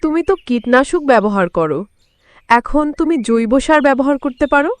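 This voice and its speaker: A woman plays a practical farmer's wife in a village cartoon. Her voice is high, clean and smooth, with a homely manner. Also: lively intonation, fairly quick, no room sound. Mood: chiding, practical. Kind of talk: Bengali cartoon voice acting.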